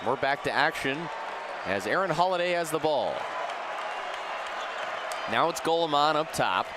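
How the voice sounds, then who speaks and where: A man's voice: a little high, lively intonation, a little slow, a crowd in the background. A young man calling a game live as a play-by-play sports announcer. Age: young adult. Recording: noisy arena and ballpark ambience. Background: sports venue noise, bouncing basketballs.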